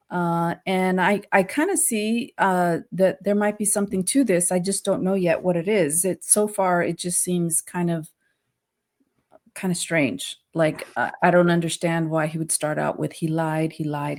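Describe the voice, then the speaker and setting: A woman co-hosting a casual trial discussion in a conversational, tentative way. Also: on the low side. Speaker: co-host.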